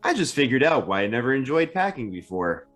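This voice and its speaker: A cheerful, loving young man , acted by a man, extremely animated in pitch. He chats breezily in radio-play style, with some music underneath. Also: fairly quick. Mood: cheerful relief. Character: cheerful, affectionate young husband or lover, happily packing. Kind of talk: old-time radio drama acting.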